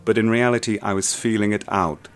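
An actor narrating as a ruined, obsessive man, telling his tale slowly and grimly in first person. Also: lowish voice, fairly even intonation, slight background noise, close to an everyday voice. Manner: slow, grim first-person storytelling. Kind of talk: radio play narration. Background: music.

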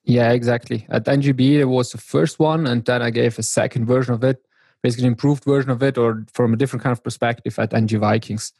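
A man from northern Italy, a software developer explaining things as a podcast guest. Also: mid-range voice, fairly even intonation, fast talker, breathy edge, dry recording. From South Tyrol, northern Italy. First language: German. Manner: explanatory, with restarts. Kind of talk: casual technical chat.

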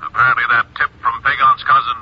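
Brisk, husky intelligence chief, acted by a man. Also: mid-range voice, level tone, lightly acted. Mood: brisk, concerned. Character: gruff, businesslike male intelligence chief. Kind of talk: 1950s radio acting. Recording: muffled old radio recording.

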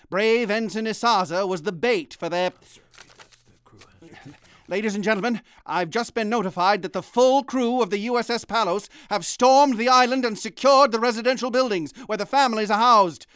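Vintage radio drama anchorman: high-pitched, crisp, grave and formal, close dry studio. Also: fairly bright tone, no echo. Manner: grave, formal, deliberate announcing. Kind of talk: vintage radio newscast acting.

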